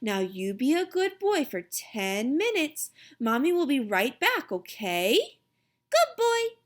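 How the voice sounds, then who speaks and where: A woman's voice: sits high, very animated, slow pace, voice pushed up, full character voice. Woman playing a cooing, doting young mother. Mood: coaxing. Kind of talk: children's audiobook character voice.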